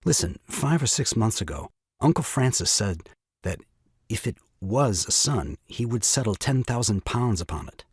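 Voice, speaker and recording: A man's voice: fairly low pitch, sing-song, normal speed, slightly rough, no echo, studio quality, full character voice. An actor playing a self-pitying, exasperated new father in an audio farce, with grandiloquent, melodramatic delivery. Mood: melodramatic woe. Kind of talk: theatrical audio drama farce acting.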